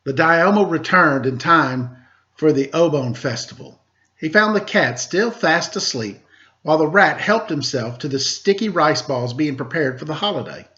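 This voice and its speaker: A man tells a children's story with expressive narration. His voice is pitched slightly high. The recording sounds a bit dull and lo-fi. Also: normal speed.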